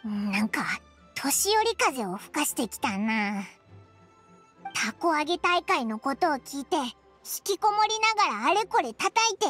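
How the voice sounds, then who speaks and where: Tiny fairy girl, very high squeaky voice, wildly swooping intonation, cheeky, boyish phrasing, anime game. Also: sharp tone, exaggerated voice. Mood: startled, teasing. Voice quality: childlike, squeaky. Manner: brash, rough boyish phrasing.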